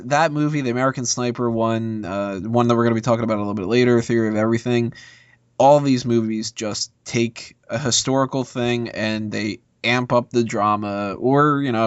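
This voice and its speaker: A man's voice: mid-range voice, clear, resonant tone, no room sound, dull audio. A man speaking as a podcast panelist, giving informal opinions in a casual movie discussion.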